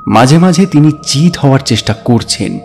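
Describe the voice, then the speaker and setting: A man tells a story as a dramatic narrator, deliberate and expressive. His pitch sits in the middle range, and music plays behind him. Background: background music.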